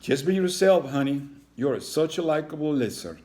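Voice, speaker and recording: A man's voice: a little high, animated, normal speed, dark tone, pitched above the performer's own voice, natural voice. A man voicing a caring iguana mother in a storybook, coaxing and reassuring her child. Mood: encouraging.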